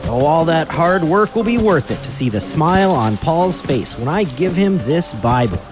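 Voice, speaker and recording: A man's voice: a little high, very animated, moderate pace, music in the background. A man voicing an earnest, worried ox in a family radio drama, with an anxious, pleading manner. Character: earnest, worried young male blue ox.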